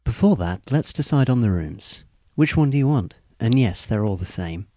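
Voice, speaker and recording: A man playing a polite, composed teenage schoolboy in an audio drama, speaking calmly and courteously. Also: mid-range voice, moderate pace, no echo, natural voice. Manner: courteous, calm. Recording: music behind a dry voice.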